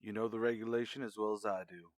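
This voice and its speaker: An actor playing a stern but regretful male starship commander in a radio drama, measured and authoritative. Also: lowish voice, steady tone, dry recording, natural voice.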